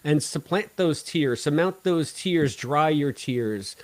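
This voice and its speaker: A man's voice: on the high side, lively intonation, takes it slowly, no echo. A man hosting a casual live podcast, bantering with jokey wordplay and a playfully theatrical delivery. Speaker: podcast host.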